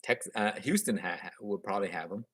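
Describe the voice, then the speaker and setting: A man in his thirties speaks in a fairly low voice with a slight Vietnamese accent. He chats with viewers in a relaxed, upbeat way, often restarting his sentences. He talks into a webcam in a room at home, and the sound is dry and close. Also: a little fast, breathy edge, no room sound. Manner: chatty and upbeat, frequent restarts and chuckles. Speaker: gardening channel host. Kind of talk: casual live stream chat answering viewer comments.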